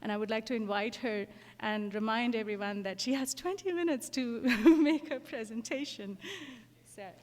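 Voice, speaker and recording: A woman's voice: fairly high pitch, moderate pace, darkish tone, long reverb tail, a little hiss or hum. A woman facilitating a conference session, introducing the speaker with many hesitations. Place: lecture hall.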